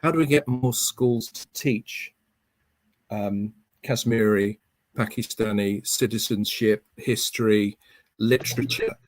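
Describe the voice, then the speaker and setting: A man's voice: on the low side, fairly even intonation, slow pace, dry recording, poor audio. A man posing a question in an academic panel discussion, speaking in articulate, academic phrasing.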